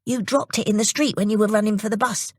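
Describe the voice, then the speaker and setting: A woman's voice: mid-range voice, fairly even intonation, quick pace, darkish tone, breathy edge, no echo, clean studio sound, voice pushed up, close to an everyday voice. A woman voicing an earnest, anxious young boy in a children's story, speaking eagerly.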